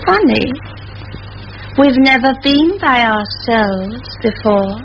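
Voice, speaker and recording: High-voiced saucy village girl. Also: expressive, takes it slowly. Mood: cheeky, boastful. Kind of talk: old radio play acting. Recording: muffled, hissy old radio recording.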